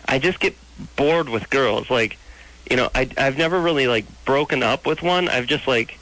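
A man's voice: medium pitch, normal speed, fairly bright tone, poor audio. A man in his twenties calling into a radio show, speaking hesitantly and rambling with many fillers. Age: 20s. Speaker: caller.

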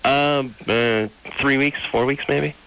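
A man's voice: mid-range voice, fairly even intonation, slow, a bit bright, a little hiss or hum, low-quality recording. A man in his twenties calling into a radio show, speaking hesitantly and rambling with many fillers. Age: 20s. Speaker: caller.

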